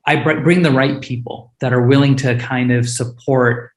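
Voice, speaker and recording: A man's voice: medium pitch, fairly even intonation, moderate pace, echoey room. A man, a startup founder with Hindi as his first language, answering panel questions earnestly and openly, with frequent fillers and restarts.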